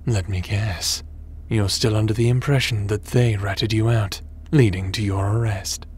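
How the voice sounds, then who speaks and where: A man playing a smug, ruthless crime boss and sci-fi villain in an audio roleplay, taunting and theatrical in a deliberate delivery. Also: on the low side, steady tone, darkish tone, slight background noise, natural voice.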